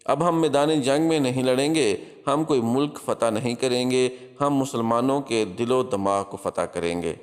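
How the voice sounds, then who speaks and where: A man's voice: mid-range voice, moderate pace, few pauses, close to an everyday voice. A man voicing a captured crusader spymaster in a storybook tale, coolly defiant, with solemn, declamatory delivery. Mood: ominous, prophesying. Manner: solemn, declamatory, emphatic address. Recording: long hall-like reverb.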